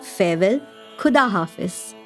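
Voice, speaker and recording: Gracious medium-pitched hostess of a literary gathering. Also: female voice, expressive, unhurried, fairly bright tone, faint music behind. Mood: delighted, gracious. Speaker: host. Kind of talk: scripted radio play hosting.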